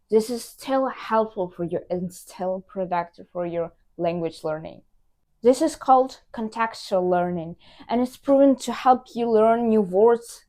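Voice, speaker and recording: A woman's voice: medium pitch, darkish tone. A woman vlogger sharing language-learning tips straight to camera, upbeat and encouraging, with slight non-native grammar slips. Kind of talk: direct-to-camera tips vlog.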